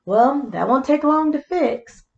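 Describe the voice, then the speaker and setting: A woman's voice: sits high, lively intonation, a little slow, vocal fry, darkish tone, lo-fi sound, pitched above the performer's own voice, heavily acted. A woman voicing a cheerful, literal-minded housekeeper in an earnest, exclamatory storybook read-aloud. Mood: busy, puzzled. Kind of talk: animated picture book read-aloud.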